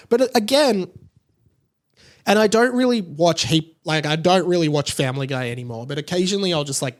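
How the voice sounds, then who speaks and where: A man hosting a podcast solo, talking casually about TV in a rambling, conversational way. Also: a little high. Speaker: podcast host.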